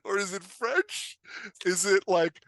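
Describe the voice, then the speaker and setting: A man's voice: sits high, slow pace, creaky, fairly bright tone, no room sound. A man chatting animatedly as a guest expert on writing, emphatic and joking, often acting out imagined exchanges. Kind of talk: animated casual podcast chat.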